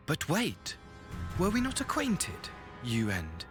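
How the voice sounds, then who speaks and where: A man's voice: a little high, huge pitch swings, takes it slowly, music in the background, exaggerated voice. A man voicing a flamboyant, mocking male villain servant in a game cutscene, grandiose and sing-song with manic laughter. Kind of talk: theatrical game cutscene acting.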